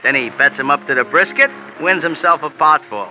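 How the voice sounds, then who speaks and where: A scrappy little jockey rattling off slangy racetrack talk. His voice is bright and springy, leaping around in pitch. It comes through a muffled old radio recording with music playing underneath. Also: expressive, slight background noise. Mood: knowing. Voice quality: slangy fast-talking tough-guy patter. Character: small wiry man jockey, street-wise and loyal. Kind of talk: 1950s radio drama acting.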